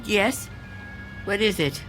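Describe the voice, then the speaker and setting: A woman playing a frail, reclusive old woman, frightened and fretful, halting and theatrical in an old-time radio drama style. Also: medium pitch, music in the background, natural voice. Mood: guarded, stiff.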